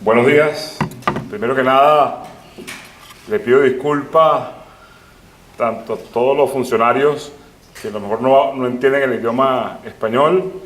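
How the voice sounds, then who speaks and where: A man's voice: mid-range voice, a little slow, long pauses, fairly bright tone, slight background noise. A Venezuelan man giving an impassioned, emphatic formal speech at a city hall ceremony. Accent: Venezuelan Spanish. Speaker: guest speaker.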